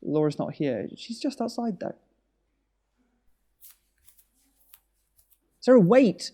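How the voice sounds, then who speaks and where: An actor playing an anxious, weary husband in a naturalistic audio drama, speaking in a wry, hesitant, conversational way. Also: male voice, high voice, big pitch swings, moderate pace, dark tone. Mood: worried, pleading.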